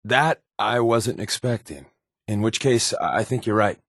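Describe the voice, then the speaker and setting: A man's voice: lowish voice, measured pace, gravelly, fairly bright tone, no room sound, clean studio sound, heavily acted. A man playing a wry, sarcastic undercover resistance agent and game companion, with an American accent and glib, casual patter. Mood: persuasive, wry. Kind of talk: video game companion dialogue.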